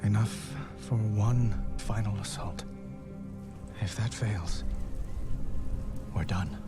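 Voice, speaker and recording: A man's voice: on the low side, takes it slowly. An actor playing an earnest, solemn young human king in a dramatic game cinematic. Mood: grave, earnest. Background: cinematic music.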